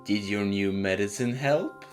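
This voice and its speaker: A man voicing a cold, demanding creature mother from a horror game, in flat, clipped questions and orders. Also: fairly low pitch, measured pace, faint music behind, natural voice.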